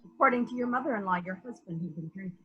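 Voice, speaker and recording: A woman playing a patient, probing investigator in a murder mystery reading, courteously and gently asking questions. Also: mid-range voice, very fast, breathy edge, muffled recording. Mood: polite, insistent.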